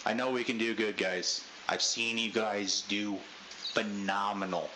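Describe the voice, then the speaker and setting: A man leading a group debrief in a casual pep-talk style, talking loudly with a lot of inflection. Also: steady tone, measured pace, noisy background. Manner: loud, high voice inflection. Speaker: unit leader giving a debrief.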